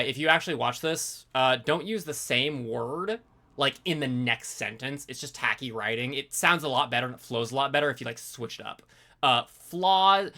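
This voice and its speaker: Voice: medium pitch, pushed and bright. A streamer reading a review aloud in a casual live commentary style, breaking off for informal, sweary asides.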